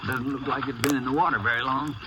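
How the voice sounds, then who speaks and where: An actor playing a plain-spoken, practical small-town sheriff with an American accent and folksy manner in a vintage radio drama. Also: male voice, mid-range voice, fairly even intonation, fast talker, close to an everyday voice. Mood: pondering. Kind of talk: 1950s radio drama acting. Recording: noisy vintage radio recording.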